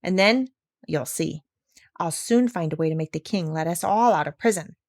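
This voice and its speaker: A woman's voice: lowish voice, normal speed, darkish tone, dry recording, studio quality, natural voice. A woman voicing a clever, proud female parrot from a storybook, self-assured and bossy. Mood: confident. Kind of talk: storybook read-aloud character voice.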